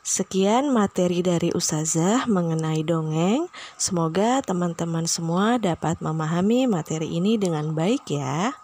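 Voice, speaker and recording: A woman's voice: on the low side, animated, measured pace, darkish tone, a little hiss or hum. A woman teacher presenting a scripted children's lesson, posing questions and giving the answers. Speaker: primary school teacher.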